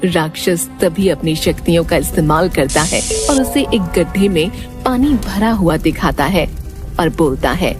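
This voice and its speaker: A woman narrating a scripted story in an expressive storytelling manner. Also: on the low side, a little slow, music in the background.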